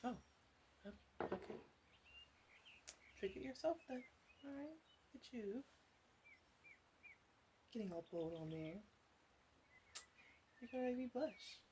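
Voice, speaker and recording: A woman playing a caring, teasing witch in a soft ASMR roleplay voice that slips into whispers. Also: fairly high pitch, lively intonation, background noise, pitched above the performer's own voice. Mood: playful, flirty. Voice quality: soft, breathy, slipping into whisper. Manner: gentle, unhurried, playfully teasing. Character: female witch, flirty, caring, teasing potion seller.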